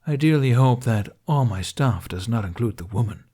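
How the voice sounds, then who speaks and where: A man's voice: mid-range voice, lively intonation, moderate pace, dark tone, husky. A man voicing a sardonic, teasing male dragon with dry, grumbling banter in an audiobook. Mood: teasing, earnest.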